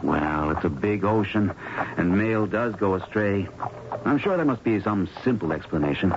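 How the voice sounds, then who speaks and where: A man's voice: on the low side, expressive, moderate pace. An actor playing an earnest young male doctor in a 1970s radio drama, courteous and a little hesitant. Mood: concerned, courteous. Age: young adult. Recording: dull, very noisy 1970s radio broadcast.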